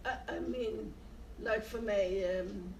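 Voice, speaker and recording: A woman, recalling her past in short, halting phrases during an oral history interview. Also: medium pitch, fairly even intonation, slight background noise. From Netherlands. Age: 80s. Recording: echoey room, distant from mic.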